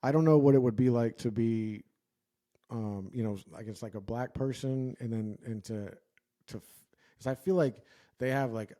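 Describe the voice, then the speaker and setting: A man's voice: mid-range voice, normal speed, dark tone. A young man co-hosting a podcast, chatting casually and joking, with lots of fillers and restarts. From United States. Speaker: podcast co-host. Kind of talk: casual chat.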